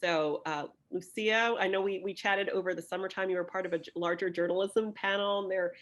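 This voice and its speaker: A woman career advisor hosting a video chat, speaking in a halting way with many fillers and restarts. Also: medium pitch, fairly even intonation, fairly bright tone. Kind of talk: moderating a chat.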